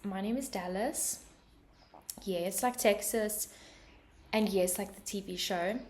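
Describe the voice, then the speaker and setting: A woman's voice: medium pitch, slow, a little mellow. A South African woman hosting a podcast, giving an upbeat, welcoming introduction. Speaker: podcast host.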